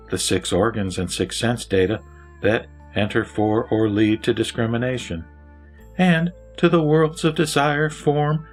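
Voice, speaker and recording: A man's voice: fairly low pitch, lightly acted. Vimalakirti, a man doing a solemn wise sage. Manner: solemn, earnest teaching. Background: faint music.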